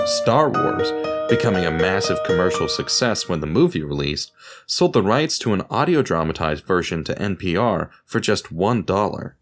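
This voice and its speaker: Deep-voiced man narrating over music. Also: very animated, normal speed. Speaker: podcast narrator. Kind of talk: scripted documentary narration.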